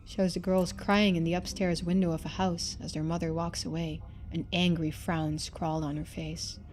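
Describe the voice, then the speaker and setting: A woman's voice: on the low side, little pitch movement, normal speed, a little mellow, a little hiss or hum. A woman streamer giving casual playthrough commentary, thinking aloud and reading game text out.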